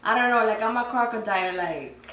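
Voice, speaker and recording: A woman's voice: medium pitch, lively intonation, a little fast, fairly bright tone. A young woman in her twenties from the Dominican Republic, a podcast guest speaking hesitantly and reflectively with many fillers. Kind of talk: casual interview chat. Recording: roomy echo, mic at a distance, faint noise.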